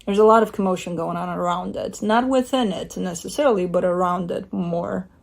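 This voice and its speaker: A woman's voice: fairly low pitch, brisk, creaky. A woman giving a casual tarot reading at home, talking in a chatty, rambling way with blunt asides. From Romania. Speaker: tarot reader. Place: home by a window with a bird feeder.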